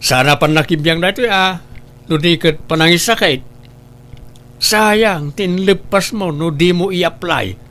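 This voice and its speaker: Gravelly, nagging Filipino father played by a man. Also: high-pitched, a little slow, fairly bright tone. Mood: scolding. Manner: scolding, questioning. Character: stern, nagging middle-aged father and uncle. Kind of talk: amateur comedy drama acting.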